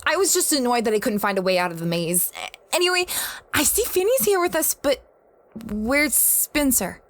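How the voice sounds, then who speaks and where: A woman's voice: sits high, sing-song, moderate pace. A woman playing a young female space adventurer in an audio drama, conversational and a little hesitant, anxious and evasive. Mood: evasive, puzzled. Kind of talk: audio drama acting and narration.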